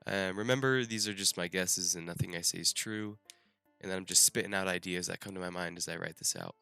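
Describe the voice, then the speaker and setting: A man's voice: low voice, fairly quick, vocal fry, pushed and bright, smooth, no room sound, faint music behind. A young man with a nasal, stuffed-up voice after the flu, reading his scripted guesses about a game's story with small restarts. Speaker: gaming YouTuber. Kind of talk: scripted game theory commentary.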